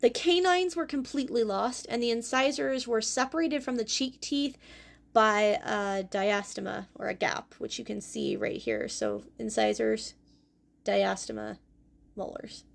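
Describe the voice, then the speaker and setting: Female host-teacher, young adult, slightly high voice with some vocal fry, clear explanatory lesson delivery. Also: lively intonation, unhurried. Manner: clear explanatory delivery, careful over hard names. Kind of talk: educational fossil presentation.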